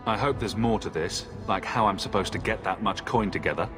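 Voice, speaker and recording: A man acts a sceptical adventurer in a fantasy video game. His voice is fairly low, with a dry, guarded and questioning delivery over clear background music. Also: fairly quick, close to an everyday voice. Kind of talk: video game dialogue acting.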